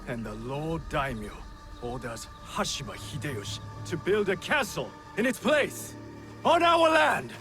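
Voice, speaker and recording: A proud disowned warrior man in a game cutscene. He speaks slowly and impassioned, with very animated pitch. He broods theatrically over clear background music. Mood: bitter. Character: proud, bitter, defiant disowned warrior monk's son. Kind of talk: dramatic video game cutscene acting.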